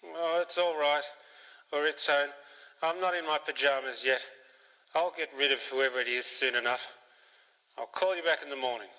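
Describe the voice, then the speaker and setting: A man's voice: on the high side, moderate pace, pauses often, sharp tone, highs cut off. A man playing a frightened, wealthy mansion owner in a radio mystery play, speaking in a fearful, dramatic way. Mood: dismissive. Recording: long hall reverb.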